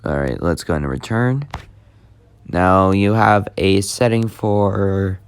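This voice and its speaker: A man giving a casual, unscripted app tutorial, speaking plainly and haltingly as he hosts the demonstration. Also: sits low, smooth, no room sound. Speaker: YouTube host demonstrating an app.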